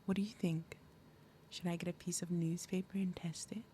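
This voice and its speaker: A woman voicing a big, possessive demon mother in an ASMR roleplay, falsely sweet and threatening, speaking deliberately and dropping into breathy murmurs. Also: lowish voice, level tone, mellow, a little hiss or hum, lightly acted. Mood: taunting, menacing. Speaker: roleplay audio performer. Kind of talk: ASMR roleplay, acted monologue.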